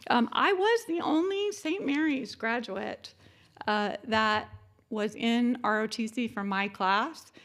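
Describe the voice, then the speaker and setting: A woman's voice: fairly high pitch, slow pace, fairly bright tone. A woman, giving a conference keynote in a chatty way with many fillers. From born in Japan, military family. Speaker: keynote speaker.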